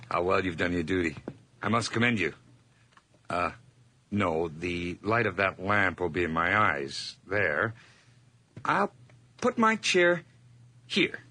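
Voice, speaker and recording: A man's voice: deep voice, big pitch swings, slow, long pauses, bright, forceful tone, exaggerated voice. An actor playing a nervous, over-polite hired man hiding guilt, stammering and effusive in an old radio horror drama. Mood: nervous, eager.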